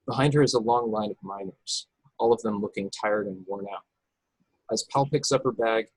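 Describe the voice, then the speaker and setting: A man's voice: fairly low pitch, slightly rough, dry recording, poor audio. A man reading screenplay action and scene headings as the narrator of an informal table read. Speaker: narrator reading stage directions.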